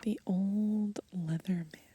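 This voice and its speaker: A woman softly murmuring ASMR commentary as she browses a book, breathy and gentle, outdoors. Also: mid-range voice, fairly even intonation, takes it slowly, a little hiss or hum. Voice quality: breathy, whispery. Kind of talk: ASMR, soft murmured commentary and title reading. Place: outdoors on grass.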